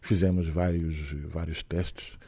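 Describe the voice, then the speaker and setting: A middle-aged man from Senegal speaks with a French-tinged Senegalese accent. His voice sits fairly low. He is being interviewed and talks in a measured, reflective way, pausing and hesitating often. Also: little pitch movement, dark tone, slightly rough. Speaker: guest. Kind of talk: interview conversation.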